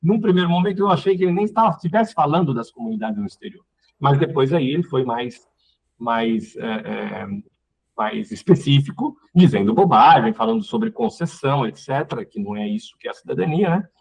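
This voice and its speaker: A man's voice: medium pitch, big pitch swings, a little fast, gravelly, darkish tone, slightly rough, poor audio. A Brazilian man giving unscripted political commentary as a panel guest, often repeating words as he searches for phrasing. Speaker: panel guest, community representative. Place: online live call.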